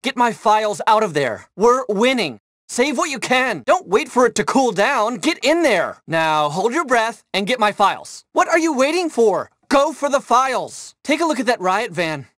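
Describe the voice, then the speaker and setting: Casting: condescending, entitled tech boss for a game, corporate jargon, very dry recording. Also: male voice, very high voice, very animated, moderate pace, fairly bright tone, no room sound, exaggerated voice. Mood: commanding. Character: smug, entitled male tech billionaire, self-important and easily panicked. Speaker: tech company boss and client. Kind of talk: video game voice acting.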